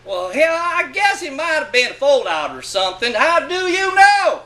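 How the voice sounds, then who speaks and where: Animated high-pitched man reading fiction aloud. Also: moderate pace. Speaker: reader of a short story. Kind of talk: animated dramatic reading of a literary monologue.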